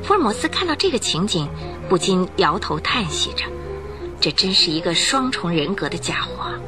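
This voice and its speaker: A woman's voice: lowish voice, very animated, moderate pace, music in the background. A woman narrator telling a radio drama story from a script in a vivid, suspenseful, dramatic way.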